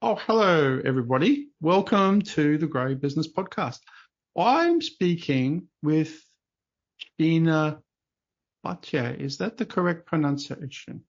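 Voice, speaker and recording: A man's voice: fairly high pitch, expressive, unhurried, dull audio. A man hosting a podcast interview, chatty and rambling with lots of restarts and fillers. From Australia. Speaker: podcast host. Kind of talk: casual interview chat.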